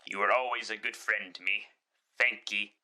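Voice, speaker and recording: A man's voice: lowish voice, steady tone, normal speed, bright, forceful tone, low-quality recording. A man voicing a frightened old miser in a radio drama, timid, hesitant and pleading. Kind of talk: classic radio drama acting.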